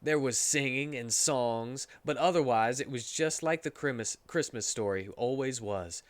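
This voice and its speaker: A man's voice: medium pitch, unhurried, no echo. Man doing a dry-room comedic dramatic reading. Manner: breaks into laughter, stumbles over words. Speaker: streamer reading a story aloud.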